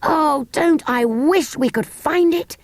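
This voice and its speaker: A woman's voice: high-pitched, slow, no room sound, voice pushed up. A woman with a British accent playing a blunt, hungry younger schoolboy in a storybook, emphatic and easily dejected. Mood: wistful.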